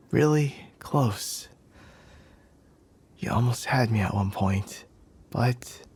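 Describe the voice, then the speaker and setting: A man's voice: mid-range voice, heavily acted. A man playing a cocky, teasing young warrior in an ASMR roleplay, smug and playfully possessive toward the listener. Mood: warm, admiring. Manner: teasing, smug, breathy sighs and chuckles.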